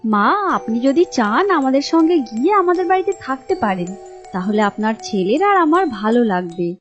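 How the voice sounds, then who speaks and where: A woman as a high-voiced, pushy young wife. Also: big pitch swings, normal speed, faint music behind. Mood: polite. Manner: insistent, nagging. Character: pushy, grasping young wife and daughter-in-law in a folk tale.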